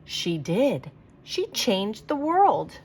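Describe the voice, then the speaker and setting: Lady reading a kids picture book out loud, really animated, voice goes up and down a lot. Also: medium pitch, drawn-out words, breathy edge. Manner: expressive, emphatic delivery for young listeners. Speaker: teacher reading picture books aloud for children. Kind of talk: read-aloud storytelling of a children's picture book.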